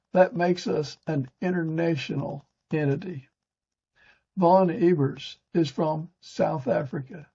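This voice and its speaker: A very husky male podcast host with a fairly high, creaky voice reads scripted introductions. His tone is dark and mellow, on a slightly dull recording.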